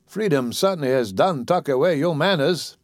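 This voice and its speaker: A man voicing a bossy, motherly old Southern woman with a drawling accent, speaking in a high put-on voice. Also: expressive, no echo, clean studio sound, lightly acted. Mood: scolding, motherly. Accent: American Southern. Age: older. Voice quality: high put-on voice, drawn-out dialect speech.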